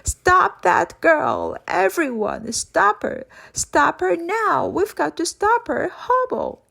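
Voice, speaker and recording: A woman voicing a cruel, bossy male workhouse master in a storybook, with a booming, commanding manner. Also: huge pitch swings, takes it slowly, vocal fry, a bit bright, exaggerated voice. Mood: furious, shouting.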